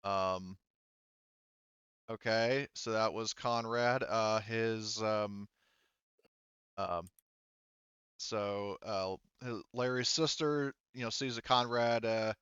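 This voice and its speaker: A man's voice: lowish voice, steady tone, takes it slowly, pauses often, fairly bright tone, clean tone, dry recording. A man running a tabletop roleplaying game, casually narrating and making rulings as he thinks aloud, on a slightly dull microphone. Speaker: game master.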